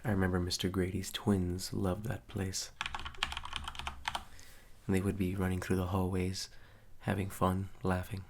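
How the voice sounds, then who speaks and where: Casting: male actor as softly ominous, courteous hotel receptionist, ASMR roleplay; very slow delivery, gravelly fry. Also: monotone, background noise. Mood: reassuring, courteous. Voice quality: soft-spoken, low, gravelly. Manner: slow, measured, hushed, politely eerie.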